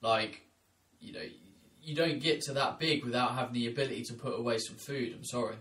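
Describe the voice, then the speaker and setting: A man's voice: medium pitch, fairly quick, a bit bright, recorded from a distance. A man hosting a podcast, talking bluntly and casually. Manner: blunt, chatty, says mate. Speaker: podcast host.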